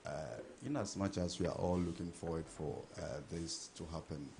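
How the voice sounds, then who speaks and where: A journalist asks a question from the floor in a very deep, dark voice. He speaks quickly and trails off quietly. A faint hiss sits behind him. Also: steady tone.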